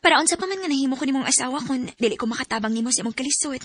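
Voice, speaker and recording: A woman acting a devoted, patient, self-sacrificing young wife in a radio drama, gentle and reassuring in a conversational way. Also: high-pitched, animated, rapid-fire, husky, dry recording, full character voice.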